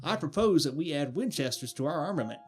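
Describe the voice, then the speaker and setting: Man playing plucky adventurer, higher-pitched voice. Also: animated, fast, faint music behind, close to an everyday voice. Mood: keen, confident. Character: plucky, level-headed male adventurer fond of rifles. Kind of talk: radio play acting.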